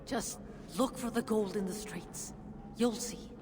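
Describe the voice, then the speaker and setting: A woman's voice: medium pitch, unhurried, natural voice. A woman playing a weary, bitter dwarf leader in a video game, guarded, sardonic and solemn. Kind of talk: dramatic video game voice acting. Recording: long reverberant hall, noisy ambience.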